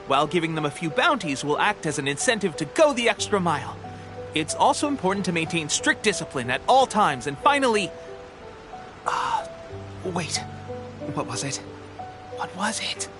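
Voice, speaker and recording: An eager young soldier from a video game, boastful and exuberant. His voice is high and very animated, with music clearly behind it. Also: normal speed. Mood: cheerful, self-important, then forgetful. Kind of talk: video game character acting.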